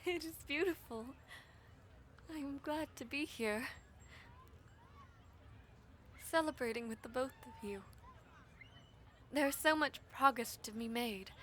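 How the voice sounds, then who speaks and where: A woman playing a formal, composed villainess devoted to her prince, speaking in slow, breathy, measured phrases in a fantasy audio roleplay. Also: high-pitched, fairly bright tone, slight background noise, voice pushed up. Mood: warm, proud. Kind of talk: fantasy audio roleplay with first-person narration.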